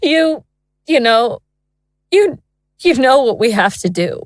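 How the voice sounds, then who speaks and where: A woman plays a fed-up, emotional wife and mother in an audio horror drama. She speaks slowly in a high, very animated voice, recorded close and dry. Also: no room sound. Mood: bitter, insistent. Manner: insistent, emotional.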